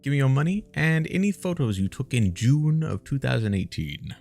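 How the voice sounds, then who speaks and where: A man's voice: mid-range voice, sing-song, normal speed, gravelly, darkish tone, soft background music, voice pushed up. A man voicing a pompous, overly courteous government official shaking someone down in a game. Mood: politely demanding. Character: polite, pompous government official turned shakedown robber.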